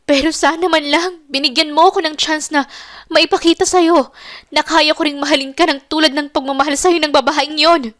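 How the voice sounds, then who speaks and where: Woman acting a shrill, hurt young wife. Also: steady tone, normal speed, fairly bright tone. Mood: tearful pleading. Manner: pleading, shrill outbursts. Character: hurt, jealous young wife, tearful and angry. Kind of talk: Tagalog romance audio drama acting.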